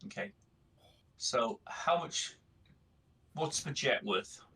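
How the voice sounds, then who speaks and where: A man's voice: a little high, sing-song, slow pace, creaky, pushed and bright, slightly rough. A man playing a tabletop roleplaying game, talking casually and negotiating with the others.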